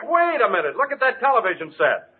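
A man's voice: extremely high pitch, very animated, fast talker. An actor playing a jovial, hungry male party guest in a 1950s radio comedy. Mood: impressed. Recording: noisy, tinny old radio recording.

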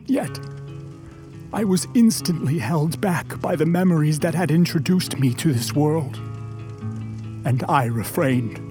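A man plays a wounded, articulate man-made creature telling its own tale in a radio drama. The voice is high and soft-edged, and the delivery is slow, formal and full of longing. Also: natural voice. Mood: restrained sorrow. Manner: formal, literary, measured storytelling with drawn-out words. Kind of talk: dramatic radio play narration.

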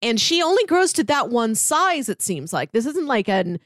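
A woman's voice: fairly high pitch, big pitch swings, moderate pace, a bit bright, no room sound. Dry-sounding woman in casual podcast discussion. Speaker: podcast panelist.

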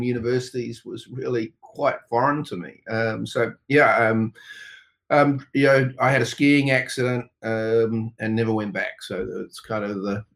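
Halting New Zealand man in conversation. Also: medium pitch. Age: middle-aged. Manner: halting, rambling, many "you know"s. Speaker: interview guest, tech company co-founder. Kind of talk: conversational interview answers.

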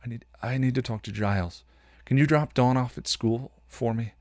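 Man reading as anxious young woman, halting and urgent. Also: mid-range voice, a little mellow, no echo, clean studio sound, natural voice. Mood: flustered. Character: anxious young woman slayer, worried daughter.

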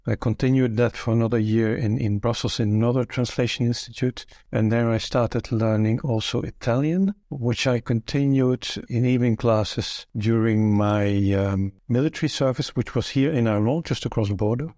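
A man's voice: medium pitch, moderate pace, few pauses, warm tone, no echo, studio quality. An older man from Belgium whose first language is Dutch, recalling his career thoughtfully as an interview guest with frequent restarts. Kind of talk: relaxed conversational interview.

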